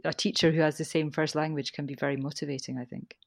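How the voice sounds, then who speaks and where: A woman's voice: deep voice, little pitch movement, quick pace, creaky, no echo, studio quality. A woman with a Scottish accent, a teacher trainer answering interview questions in a thoughtful way, restarting her sentences often.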